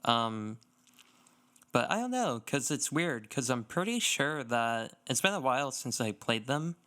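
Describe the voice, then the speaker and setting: A man's voice: mid-range voice, very animated, a little slow, pushed and bright. A man co-hosting a gaming podcast in casual chat, feeling his way through sentences with lots of fillers. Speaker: podcast co-host.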